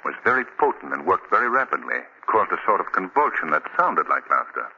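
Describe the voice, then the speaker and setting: Low-voiced, commanding crime fighter. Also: male voice, big pitch swings, creaky, pushed and bright, heavily acted. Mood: calm, explanatory. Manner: authoritative, measured, articulate explaining. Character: suave, commanding male crime fighter, self-assured. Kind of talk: 1940s radio acting. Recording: dull, hissy old radio recording with clicks.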